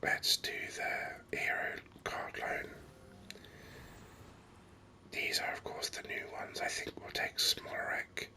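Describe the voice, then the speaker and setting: Whispering ASMR gamer, very bright and breathy. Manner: soft unhurried whispering, musing. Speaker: gaming video host. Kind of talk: whispered ASMR gaming commentary.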